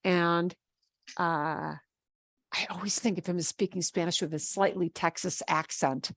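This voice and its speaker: A woman's voice: on the low side, steady tone, measured pace, a bit bright, no echo, studio quality. A woman Spanish teacher with a Midwestern American accent, patiently explaining a lesson over a video call with fillers and restarts. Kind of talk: online class lesson.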